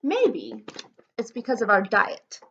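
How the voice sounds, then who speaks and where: Woman as chatty storybook wolf, lively and high. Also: slow, lo-fi sound, slight background noise, heavily acted. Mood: self-justifying, conspiratorial. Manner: folksy, incredulous, exaggerated emphasis. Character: chatty, self-justifying male wolf telling his side. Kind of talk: animated children's storybook read-aloud.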